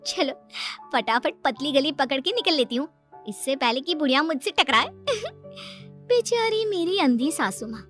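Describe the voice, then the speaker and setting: A woman's voice: sits high, lively intonation, normal speed, a bit bright, faint music behind. A woman voicing a cunning young daughter-in-law, a sugary and theatrical schemer in a moral story cartoon. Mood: gloating, sly.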